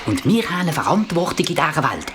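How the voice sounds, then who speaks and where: Woman playing animated, grumbling old parcel creature. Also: sits low, fast, background noise. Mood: resolute. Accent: Swiss German. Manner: grumbling, emphatic. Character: exasperated, blunt old teacher parcel creature, resolute. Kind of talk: children's radio play acting.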